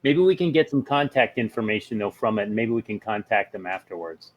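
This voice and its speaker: A man's voice: fairly low pitch, animated, fairly quick. A man talking casually as a player in a tabletop role-playing game, discussing and asking questions.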